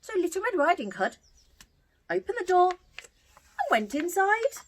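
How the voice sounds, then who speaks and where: A woman telling a story to young children as an entertainer, theatrical and expressive. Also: very high voice, measured pace, a little hiss or hum.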